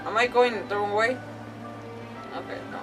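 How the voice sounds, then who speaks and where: A woman casually commentating on a live game playthrough, thinking aloud in an informal, expressive way. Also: on the high side, moderate pace. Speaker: game streamer. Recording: distant-sounding mic with game music behind. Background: game music.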